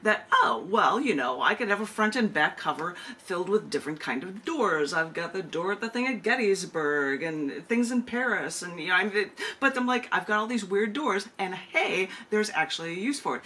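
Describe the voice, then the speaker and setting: A woman's voice: medium pitch, expressive, moderate pace, bright, forceful tone. A woman hosting an open mic and poetry reading over a video call, chatting casually with rambling asides. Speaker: open mic host and poet. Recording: noisy video call audio.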